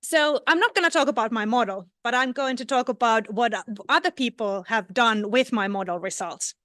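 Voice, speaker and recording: A woman's voice: fairly high pitch, fairly quick, fairly bright tone, no echo, studio quality. A woman from Finland giving a scientific conference talk, hesitating often with fillers and restarts. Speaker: conference speaker. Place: conference hall. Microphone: no microphone.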